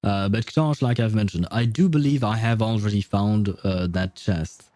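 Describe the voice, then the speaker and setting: A man's voice: lowish voice, normal speed, dark tone, dry recording. A young man casually commenting on his gameplay, chatty, laughing often and swearing. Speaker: game streamer.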